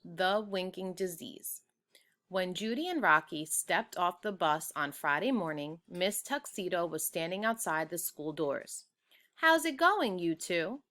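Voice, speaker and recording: A woman reading a children's book aloud, with expressive, deliberate storytelling. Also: fairly low pitch. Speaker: storybook reader.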